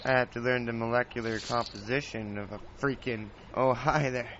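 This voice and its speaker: A man's voice: mid-range voice, fairly even intonation, normal speed, slight background noise, natural voice. A man playing a chatty apprentice wizard who explains his studies in a theatrical fantasy podcast. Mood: grumbling, distracted. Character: young wizard's apprentice, chatty, grumbling, eager amateur scholar. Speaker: host. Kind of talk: scripted fantasy podcast monologue and narration. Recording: dull lo-fi home recording, loud music. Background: music.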